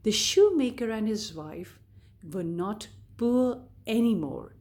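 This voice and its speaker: Slow, expressive woman reading to children. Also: mid-range voice. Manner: deliberate, expressive read-aloud delivery addressed to children. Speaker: children's storyteller. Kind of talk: scripted fairy tale narration for kids.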